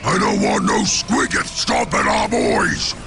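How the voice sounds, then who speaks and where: A brutish ork warboss from a video game with a rough Cockney accent. The growl is gravelly and pitched high, swinging wildly as he bellows crude boasts and orders. Noise sits behind the voice. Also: wildly swooping pitch, slow pace, music in the background, heavily acted. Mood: barking orders. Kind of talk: video game character acting.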